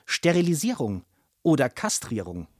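A man's voice: medium pitch, huge pitch swings, normal speed, background noise. An actor playing a merciless Nazi newspaper critic in a radio play, declaiming his verdicts with menace.

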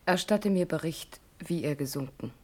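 Low, husky stricken noblewoman. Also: moderate pace. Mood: stricken, commanding. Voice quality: husky, breathy. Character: grieving noble older sovereign lady, stricken, commanding mother. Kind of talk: classical German radio drama.

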